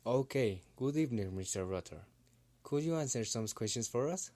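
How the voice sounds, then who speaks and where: A man's voice: mid-range voice, lively intonation, moderate pace, mellow, close to an everyday voice. A man playing a polite professor who hosts a scripted interview broadcast. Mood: polite, attentive. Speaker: interview host. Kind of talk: scripted presenting in English.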